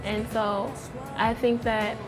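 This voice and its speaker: A young woman with a fairly high voice speaks earnestly to camera, restating her ideas and starting many thoughts with "I think that." Clear music plays behind her words. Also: level tone, slow. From Pennsylvania. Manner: earnest, repeats "I think that", circles back to her point. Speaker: interviewee. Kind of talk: personal testimony to camera. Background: background music.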